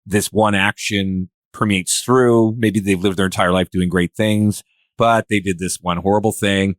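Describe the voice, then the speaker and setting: A man speaking in a casual, conversational podcast discussion, with a halting, rambling way of working through his thoughts. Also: low voice, steady tone, no echo. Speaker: podcast participant.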